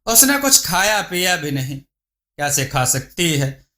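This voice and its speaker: A man narrating a scripted moral story as a storyteller, in a measured, dramatic manner. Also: fairly high pitch, big pitch swings, bright, forceful tone.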